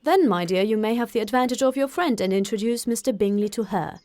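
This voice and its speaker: A woman voicing a dry, teasing middle-aged father in an audiobook, measured and mock-solemn. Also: a little high, darkish tone, no echo, lightly acted. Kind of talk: audiobook character acting.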